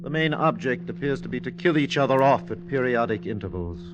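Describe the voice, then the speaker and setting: An actor playing a composed, patronizing alien spaceship commander in clipped 1950s radio style. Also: male voice, medium pitch, lively intonation, fairly quick, background noise, lightly acted. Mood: detached. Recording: dull old radio recording, ringing tones behind.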